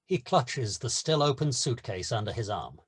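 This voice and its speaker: A male narrator reads screenplay action at a table read. His voice is mid-pitched and husky, with a lively, varied intonation. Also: moderate pace.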